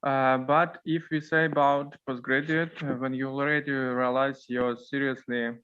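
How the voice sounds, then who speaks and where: A man's voice: mid-range voice, little pitch movement, normal speed, fairly bright tone, clean tone. A man, a doctor on a panel, speaking with non-native phrasing. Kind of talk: online panel discussion. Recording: dull call audio.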